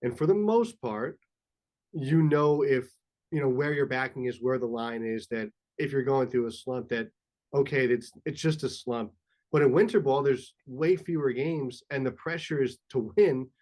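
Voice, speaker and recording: A man's voice: medium pitch, normal speed, darkish tone. A man hosting a sports podcast, asking curious questions with enthusiastic interest. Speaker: podcast host. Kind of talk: casual podcast chat.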